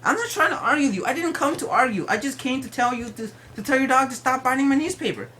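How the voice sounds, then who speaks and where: A woman's voice: high voice, animated, fast talker, fairly bright tone, a little hiss or hum. A woman playing an aggrieved, stubborn male neighbor, argumentative and repetitive, in an improvised prank phone call. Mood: exasperated, pleading.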